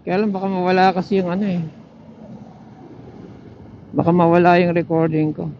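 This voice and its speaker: A man's voice: high-pitched, little pitch movement, moderate pace, a little mellow. A Filipino man giving casual, chatty riding commentary, thinking aloud as he goes. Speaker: motorcycle vlogger. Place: outdoors on a motorcycle in traffic. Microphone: helmet intercom microphone. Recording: muffled helmet intercom mic with vehicle noise. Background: faint vehicle and road noise.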